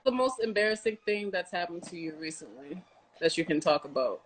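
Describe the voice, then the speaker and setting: A woman's voice: on the low side, a little fast, a little hiss or hum, poor audio. A young woman with an American accent, hosting a casual interview in a blunt, informal way. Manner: blunt, informal, swears freely. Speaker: podcast host, interviewer.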